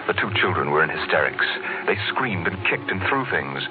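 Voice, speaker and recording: A man narrating an old radio drama in a grave, deliberate, literary way. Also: low voice, big pitch swings, narrow, tinny audio. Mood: grave, dramatic. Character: grave storyteller narrating a dark tale. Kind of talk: dramatic mid-20th-century radio narration. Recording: thin old radio, loud music underneath.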